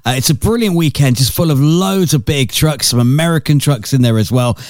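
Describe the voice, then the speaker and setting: A hoarse man presenting a radio show in a chatty, upbeat way. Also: on the high side, fairly quick, darkish tone, no room sound, studio quality. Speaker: radio host.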